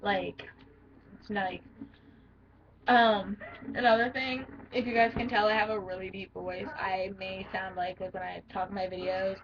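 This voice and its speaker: Teen girl vlogger, deep-voiced for her age, blunt and rambling, dull lo-fi home-video sound. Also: flat delivery, unhurried, recorded from a distance, crowd noise. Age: teens. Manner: blunt, rambling, lots of fillers. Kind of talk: casual talking-to-camera vlog.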